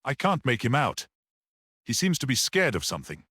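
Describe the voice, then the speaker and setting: A man voicing a brisk, sceptical police detective in a mystery audiobook, businesslike and questioning. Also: medium pitch, wildly swooping pitch, bright, forceful tone, husky, dry recording, studio quality, voice pushed up, full character voice. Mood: puzzled.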